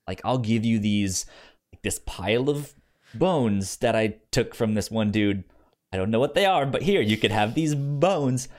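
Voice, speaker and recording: Male co-host for casual discussion show. Halting, repeats words, highly animated pitch. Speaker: podcast co-host.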